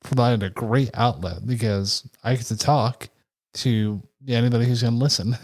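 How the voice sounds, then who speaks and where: Soft-spoken man, easygoing podcast chat. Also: medium pitch. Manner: reflective, candid, measured. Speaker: podcast co-host.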